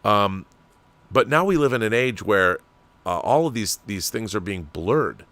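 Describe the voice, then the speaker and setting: Low-voiced American man, close dry sound. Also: expressive, measured pace, a bit bright, dry recording. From Washington state, United States. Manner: conversational and discursive, with rhetorical questions. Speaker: livestream host. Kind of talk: casual opinionated commentary, reading and answering viewer messages.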